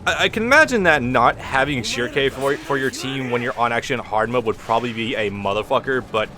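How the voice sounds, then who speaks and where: Loud, bright-voiced man rambling over gameplay. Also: medium pitch, expressive, fairly quick, soft background music. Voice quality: loud, projecting voice. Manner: rambling and frank, swears freely. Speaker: let's play host. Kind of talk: casual gameplay commentary. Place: at home at his computer, thin walls. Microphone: computer recording mic.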